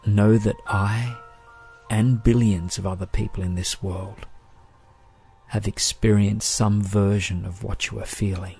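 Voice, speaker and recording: A man narrating a guided meditation in a gentle, soothing, measured way. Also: fairly low pitch, fairly even intonation, vocal fry. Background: music.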